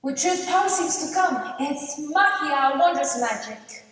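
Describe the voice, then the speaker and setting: A woman's voice: high voice, lively intonation, measured pace. A woman playing a sly, proud outcast witch in a stage play, theatrical and declamatory. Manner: theatrical, declamatory, with Spanish phrases. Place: large indoor theater stage, distant camera. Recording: echoey theater stage from far off.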